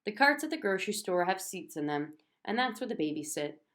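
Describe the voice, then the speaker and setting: A woman's voice: mid-range voice, very animated, fairly quick, fairly bright tone. A woman reading a children's book aloud expressively, narrating in a child's first person.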